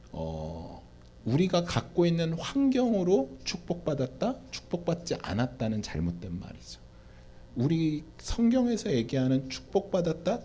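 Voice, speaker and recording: Animated pastor, dark mellow voice. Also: male voice, mid-range voice, a little hiss or hum. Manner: addresses the audience often, asks and answers his own questions. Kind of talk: church Q&A answer, preaching style. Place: church.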